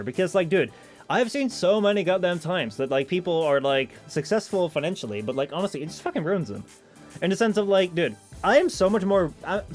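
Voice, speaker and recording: Animated Dutch-accented male streamer. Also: a little high. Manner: rambling, filler-heavy, swears often. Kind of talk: casual stream chat, reading out chat messages.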